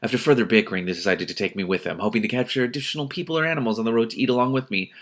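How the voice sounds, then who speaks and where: A man reading a novel aloud as host, with casual, exasperated asides in an expressive manner. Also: mid-range voice, very fast. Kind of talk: audiobook-like reading with casual reactions.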